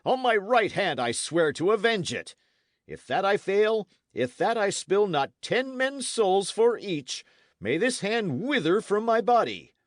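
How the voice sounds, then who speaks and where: Man playing a commanding, animated old-time knight. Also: mid-range voice, dry recording, clean studio sound, voice pushed up. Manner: archaic, oath-swearing, declamatory speech. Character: forceful, vengeful old-time English knight, boastful and commanding.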